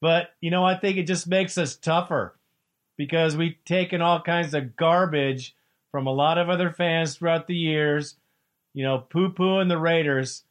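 Excitable fan radio host, fairly high voice. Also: male voice, unhurried. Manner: excitable, preachy, trash-talking fan. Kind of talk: sports talk radio.

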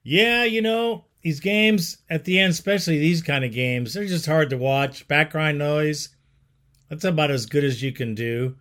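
A man's voice: fairly high pitch, moderate pace, fairly bright tone. A man hosting a sports call-in show, laid-back and chatty, calling callers "bro". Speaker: sports talk show host. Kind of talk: casual call-in show chat.

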